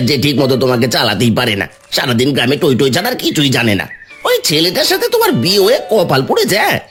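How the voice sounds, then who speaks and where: A woman voicing a nosy, meddling village man in a cartoon who pries and gossips. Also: fairly low pitch. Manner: prying, rapid nagging.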